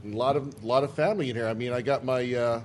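A man's voice: medium pitch, fairly even intonation, fast, a little hiss or hum. A middle-aged man, a convention organizer, chatting casually in an interview and rambling in a folksy way with casual swearing.

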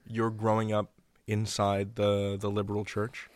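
A man's voice: on the low side, completely flat delivery, measured pace, darkish tone. A man hosting a casual interview, feeling his way into sentences and repeating his first words. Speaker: podcast interviewer.

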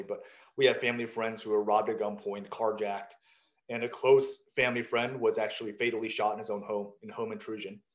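A man in his thirties who grew up in South Africa, a startup founder telling his story in a casual, candid way, self-deprecating and full of quoted back-and-forth. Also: lowish voice. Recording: roomy echo.

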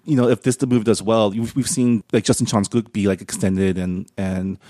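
A man's voice: on the low side, fairly even intonation, brisk, dark tone, no room sound, clean studio sound. A man co-hosting a podcast, talking casually and filling his sentences with "like". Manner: casual, heavy use of "like". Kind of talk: casual conversation.

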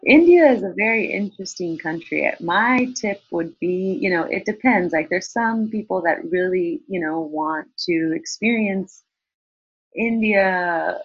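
Husky woman chatting about travel. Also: fairly low pitch, measured pace, no room sound. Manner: chatty, relaxed, many fillers and restarts. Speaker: guest. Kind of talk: casual interview answers. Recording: dull lo-fi sound.

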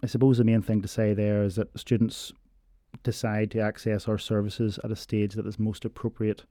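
A man explaining university learning support in a measured interview, pausing often on ums. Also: on the low side, flat delivery, warm tone, no room sound, clean studio sound. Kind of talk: informational interview answers.

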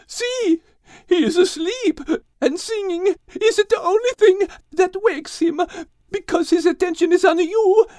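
A man's voice: extremely high pitch, measured pace, darkish tone, no echo, studio quality, voice pushed up. A man voicing an urgent, pleading female horse with an Italian accent in an audiobook. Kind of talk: audiobook character acting.

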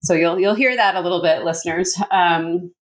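A middle-aged woman from Canada with a North American accent, telling her story in an animated, chatty way as a coach and educator being interviewed. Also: lowish voice, moderate pace. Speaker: communications coach, consultant and educator, interview guest. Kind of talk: casual conversational interview answers.

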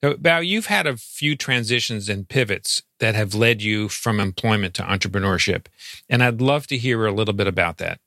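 A man hosting a podcast, reading a clear, measured intro and asking questions. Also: on the low side, expressive, bright, forceful tone, no echo, clean studio sound. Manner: clear and measured, reads promos. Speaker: podcast host. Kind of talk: scripted intro and interview questions.

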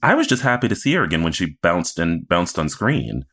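A man with a remarkably deep voice talks as a podcast co-host in a casual TV recap. His manner is opinionated and emphatic, with a playful streak. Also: sing-song, brisk, a bit bright, slightly rough.

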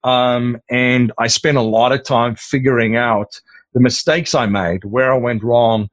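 A man's voice: mid-range voice, steady tone, measured pace, no echo. A middle-aged man, an Afrikaans speaker, talking casually in an interview, rambling with many fillers and restarts. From South Africa. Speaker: podcast host as interview guest.

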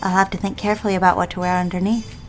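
Bir kadının oynadığı cilveli, tatlı genç anne, arkada hafif müzik. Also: female voice, mid-range voice, flat delivery, racing, faint music behind, natural voice, rough recording. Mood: coy, seductive. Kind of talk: audio drama acting.

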